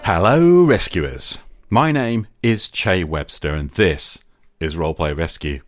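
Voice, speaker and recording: A man's voice: low voice, animated, slow pace, soft background music. A man hosting a podcast, giving introductions and putting questions to a guest. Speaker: podcast host and interviewer. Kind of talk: scripted podcast introduction and interview.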